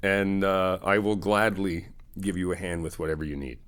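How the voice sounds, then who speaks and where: A man's voice: deep voice, fairly even intonation, normal speed, fairly bright tone, clear, resonant tone. A man playing an easygoing, helpful friend in an ASMR roleplay, talking in a casual, reassuring, conversational way. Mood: upbeat, reassuring. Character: easygoing, helpful male friend helping someone move in.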